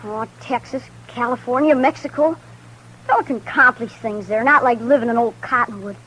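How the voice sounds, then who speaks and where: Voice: mid-range voice, moderate pace, sharp tone, lightly acted. An actor playing an eager runaway boy with folksy frontier speech in a noisy 1950s radio western. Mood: eager, boastful. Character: eager runaway boy dreaming of gunfighter fame.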